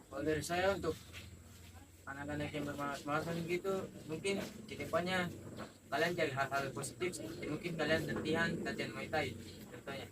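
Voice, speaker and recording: A man's voice: fairly high pitch, fairly even intonation, brisk. A young man from South Sulawesi answering interview questions as an athlete. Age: young adult. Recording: distant mic, clear background noise.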